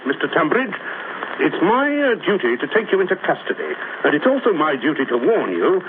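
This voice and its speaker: Blustering inspector on crackly old radio. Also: male voice, a little high, big pitch swings, fairly quick. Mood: officious. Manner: pompous, stammering interruptions. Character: blustering, officious Scotland Yard police inspector. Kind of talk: 1940s radio acting. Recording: noisy, tinny old radio recording.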